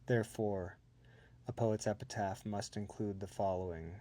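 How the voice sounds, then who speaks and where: A man reciting a poem in a measured, solemn manner. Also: fairly low pitch, steady tone, warm tone. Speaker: poet reading own work. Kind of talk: poetry recitation.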